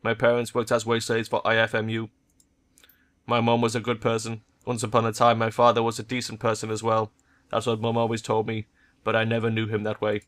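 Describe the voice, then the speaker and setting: A man voicing a cold, guarded cyborg woman in a cyberpunk game, in a cool, detached monotone. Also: on the low side, brisk, lightly acted. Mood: cool, painful recall.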